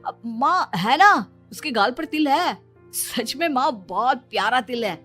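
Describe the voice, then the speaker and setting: A woman's voice: high voice, expressive, unhurried, a bit bright, faint music behind, exaggerated voice. A woman voicing a pleading, evasive young man in love, coaxing and justifying himself in a moral story.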